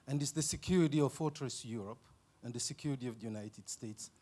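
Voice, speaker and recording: A man's voice: medium pitch, fast, mellow. A man who works at a research institute, asking a conference panel a pointed question. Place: conference hall.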